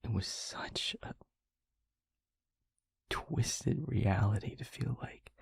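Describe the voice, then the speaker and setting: A man playing a tender, haunted male vampire boyfriend in an intimate audio roleplay, speaking haltingly and earnestly in a breathy, husky hush. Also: fairly low pitch, no room sound, exaggerated voice. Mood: bitter, anguished. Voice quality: breathy, husky near-whisper. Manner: halting, hushed, earnest delivery with heavy pauses.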